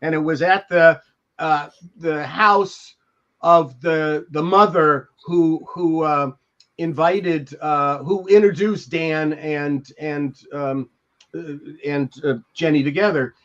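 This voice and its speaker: Dull-sounding older American man, higher-pitched voice. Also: fairly even intonation, fairly bright tone. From Beverly Hills, California. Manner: halting, reflective, anecdotal. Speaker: podcast co-host. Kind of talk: discussing and reading from a memoir. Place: indoors at home, on a video call. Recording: dull lo-fi video call audio.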